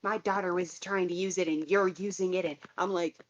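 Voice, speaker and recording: A woman with a fairly low voice co-hosting a casual podcast. She tells everyday stories in a plain way and quotes the people in them. Her mic sounds dull and lo-fi. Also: steady tone, a little fast, crowd noise. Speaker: podcast co-host. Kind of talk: casual chat.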